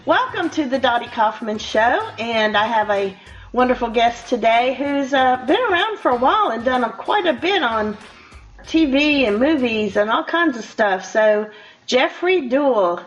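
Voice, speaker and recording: A woman's voice: fairly high pitch, lively intonation, measured pace, highs cut off, faint music behind. An older woman hosting a casual radio interview, chatty and rambling as she talks to her guest. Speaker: radio show host.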